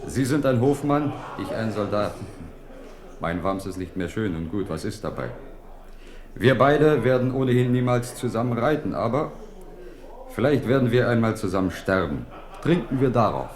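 An actor playing a battle-worn, blunt and fatalistic Protestant soldier in a radio play. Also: male voice, lowish voice, animated, moderate pace, lightly acted. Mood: bluntly fatalistic. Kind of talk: 1970s radio play acting. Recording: echoey room with crowd noise. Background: crowd.

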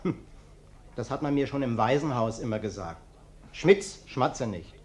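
An actor playing an uncouth, sly vagrant guest who acts humble and self-pitying, in a German radio play. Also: male voice, mid-range voice, lively intonation, measured pace, close to an everyday voice. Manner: plaintive, falsely humble, insistent.

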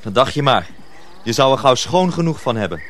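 A calm journeyman mentor in a radio drama. He speaks in a mid-range, expressive voice, reassuring and instructive, over faint background noise. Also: mid-range voice, brisk. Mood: calm, guiding. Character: calm senior mill journeyman, kindly mentor. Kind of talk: radio play acting.

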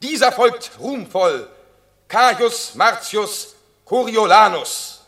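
Roman herald, high bright voice, slow proclaiming. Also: male voice, expressive, full character voice. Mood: ceremonial. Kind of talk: classic radio play acting.

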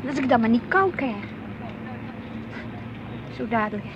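A woman's voice: a little high, lively intonation, measured pace, highs cut off, noisy background. An actress playing a fussy, indecisive, easily embarrassed woman in a naturalistic radio play. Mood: chatty, fussy. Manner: hesitant, mildly complaining, chiding.

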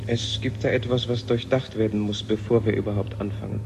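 A man's voice: fairly low pitch, little pitch movement, fairly quick, close to an everyday voice. An actor playing an insistent, argumentative male scientist in a 1970 German radio drama, speaking with emphatic intensity. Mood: wary. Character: insistent male scientist warning of an alien machine. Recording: noisy 1970 radio play recording.

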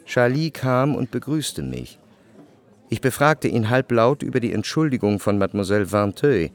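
An actor playing an observant salon guest who narrates in the first person in a literary radio drama. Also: male voice, medium pitch, sing-song, moderate pace. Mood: observant, composed.

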